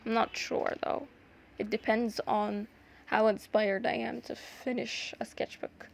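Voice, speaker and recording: A young woman whose first language is Arabic, talking through a craft tutorial in a hesitant, casual way with many pauses and restarts. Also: on the high side, flat delivery, vocal fry. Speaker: art vlogger.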